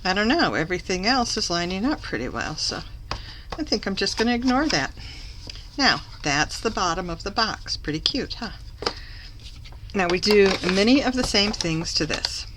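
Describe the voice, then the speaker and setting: A woman recovering from a cough, slightly hoarse, chatting through a papercraft tutorial as she works and correcting herself along the way. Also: medium pitch, very animated, normal speed, noisy background. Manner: chatty, self-correcting, talks while working. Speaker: papercraft demonstrator. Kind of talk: live craft tutorial.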